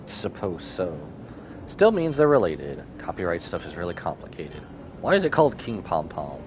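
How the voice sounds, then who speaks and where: A man's voice: sits low, sing-song, moderate pace, noisy background. A man live-streaming a game with casual commentary, musing aloud in short reactions over game music. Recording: music behind the voice.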